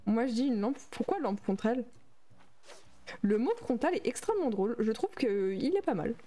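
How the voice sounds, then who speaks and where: A young woman chats through a gaming livestream. Her voice sits a little high. She reads and answers viewer messages aloud in an easy, casual flow. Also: lively intonation, normal speed, dark tone. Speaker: streamer. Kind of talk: casual livestream chat while playing a game.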